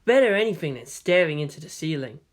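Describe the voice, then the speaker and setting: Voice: mid-range voice, big pitch swings, quick pace. A narrator giving a scripted audiobook reading of a fan fiction story.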